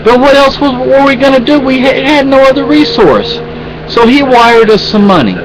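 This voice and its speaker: A man's voice: extremely high pitch, animated, moderate pace, a crowd in the background, rough recording. A man venting in a rambling, exasperated way in a casual home vlog, with many restarts. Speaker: vlogger.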